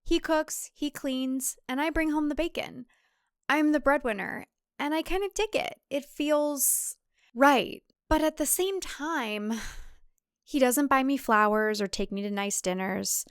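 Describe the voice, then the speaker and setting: A woman's voice: high-pitched, measured pace, no room sound, clean studio sound, voice pushed up. A woman voicing a wry, conflicted city career woman, candid and a little exasperated, in a comic audiobook. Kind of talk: comic thriller audiobook character voice.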